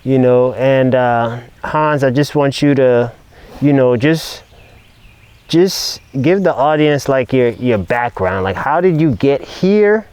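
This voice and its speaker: A man hosts a podcast and speaks slowly and unhurriedly. His voice is smooth and clear, and it sounds dry and close to the mic as he prompts his guests. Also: mid-range voice, lively intonation, a little mellow, no room sound. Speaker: podcast host. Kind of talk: casual chat.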